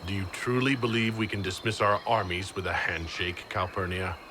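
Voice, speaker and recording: An actor voicing a resolute, diplomatic military leader in a fantasy video game, firm and direct. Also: male voice, low-pitched, normal speed, background noise, natural voice. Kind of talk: dramatic video game acting. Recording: long hall reverb, music underneath.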